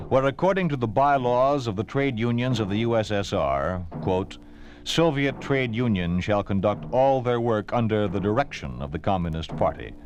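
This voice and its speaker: An American man announcing from a script in formal, solemn, emphatic 1950s radio style. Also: lowish voice. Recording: hissy, noisy 1950s radio recording.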